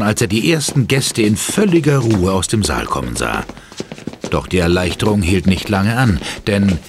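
A man's voice: on the low side, background noise. A man narrating a horror audio drama in a suspenseful, theatrical manner. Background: music.

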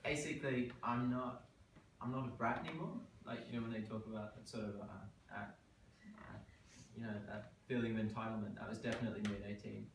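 Brief: man voicing a thoughtful late-twenties guy, low voice, halting, echoey distant sound. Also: fairly even intonation, close to an everyday voice. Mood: reflective. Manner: reflective, halting, many fillers. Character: reflective 28-year-old man, former slacker. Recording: echoey room, distant camera mic, background noise.

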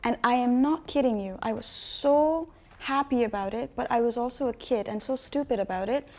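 Young woman from Nepal, gravelly edge. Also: a little high, normal speed, a little mellow. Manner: wry, self-mocking, laughing storyteller. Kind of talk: casual interview chat. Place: dark studio set, indoors.

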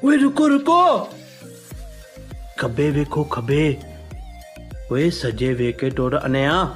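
An actor playing an excitable Punjabi soldier, calling out drawn-out, exclamatory squad commands for a game. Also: male voice, medium pitch, soft background music. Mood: alarmed, frantic. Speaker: game voice pack performer.

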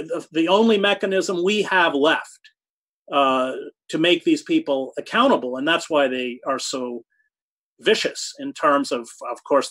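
A man in his sixties answering interview questions over a video call from a home study, speaking thoughtfully with halting restarts and hard emphasis on key words. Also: fairly high pitch, a bit bright. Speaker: guest, journalist. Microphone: video call webcam.

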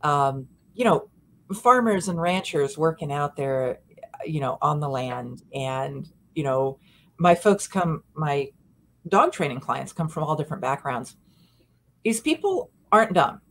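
A woman's voice: deep voice, animated, unhurried. An older woman, a dog behavior consultant, chatting earnestly and self-deprecatingly on a video call from a room at home. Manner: earnest, self-deprecating, gestures while talking. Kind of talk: casual video-call chat.

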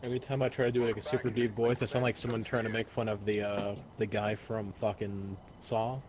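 A man joking around with friends during a co-op game, with a voice he calls squeaky. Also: little pitch movement, a little fast, noisy background. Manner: joking, says he has a squeaky voice. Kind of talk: casual gaming banter.

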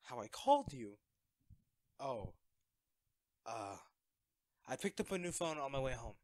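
A man's voice: mid-range voice, big pitch swings, measured pace, a little mellow, no echo, clean studio sound. A man acting in an audio roleplay as an awkward, self-effacing secret superhero, talking hesitantly and evasively to a best friend. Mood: sheepish, weary. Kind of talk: audio roleplay drama, one-sided conversation.

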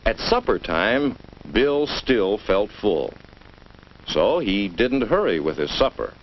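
Expressive deep-voiced 1950s instructional film narrator, male. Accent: American. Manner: measured, addresses the child directly with rhetorical questions. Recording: dull, noisy vintage educational film soundtrack.

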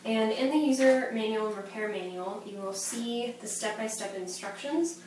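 A woman in her thirties giving a measured, step-by-step training demonstration in the manner of a methodical instructor. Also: fairly high pitch, darkish tone. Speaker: medical device trainer. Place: small plain indoor room. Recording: echoey room, distant mic, background noise.

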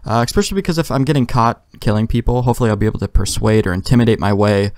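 A man's voice: on the low side, rapid-fire, darkish tone, dry recording. A man giving casual, wry let's play commentary, joking and swearing.

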